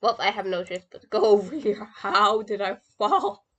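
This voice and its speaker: A woman's voice: medium pitch, expressive, normal speed, fairly bright tone. A woman doing casual live gameplay commentary, talking to herself in a playful, rambling way. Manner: playful, rambling self-talk. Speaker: game streamer.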